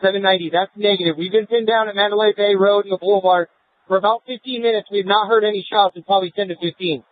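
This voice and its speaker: A man with a high, quick voice calling in urgent, clipped reports over a tinny police radio. The sound is dry and thin. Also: fairly even intonation, no echo. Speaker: police officer.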